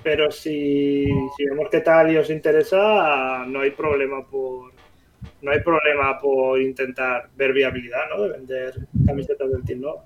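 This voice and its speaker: A Spanish man co-hosting a casual livestream podcast, excitable and eager to thank viewers. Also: mid-range voice, unhurried, poor audio. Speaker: podcast co-host.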